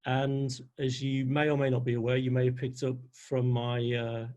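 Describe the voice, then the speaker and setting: Man, Liverpool accent, mellow tone, webinar trainer, chatty, computer mic. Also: medium pitch, flat delivery, normal speed. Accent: Liverpool (Scouse). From Liverpool, England. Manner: chatty, reads out audience answers. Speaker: NLP trainer. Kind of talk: live webinar presentation.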